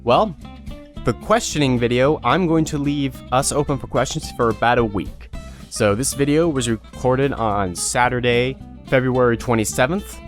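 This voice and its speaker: A man's voice: medium pitch, normal speed, faint music behind. A man speaking informally and directly to viewers as an online creator, explaining guidelines in a casual video announcement.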